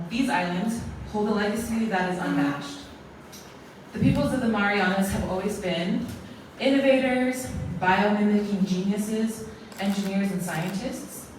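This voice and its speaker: Woman, formal closing speech, earnest and ceremonial, mid pitch, captured by a distant mic in a big echoing hall with faint noise. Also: a little slow. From American Samoa. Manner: earnest, ceremonial, speaks in lists. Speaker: closing speaker at an arts awards event. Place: large echoey museum hall.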